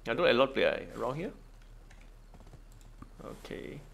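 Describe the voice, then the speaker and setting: Animated, creaky-voiced man commentating a game. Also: mid-range voice, a little hiss or hum. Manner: halting non-native English, thinking aloud. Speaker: gaming video host. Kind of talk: casual game walkthrough commentary.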